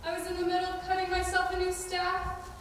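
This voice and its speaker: A woman's voice: very high-pitched, monotone, a little fast, a bit bright. A teenage girl playing a blunt, loyal outlaw woman in a school stage play. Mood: impatient, amused. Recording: distant, echoey stage recording with noise.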